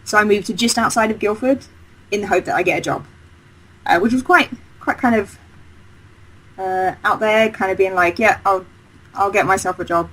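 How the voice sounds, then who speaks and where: A woman's voice: medium pitch, normal speed, pauses often, fairly bright tone, low-quality recording. Chatty woman, rambling enthusiastically. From Essex, England. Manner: chatty, rambling, with many fillers and restarts. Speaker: game designer guest. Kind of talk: casual interview chat.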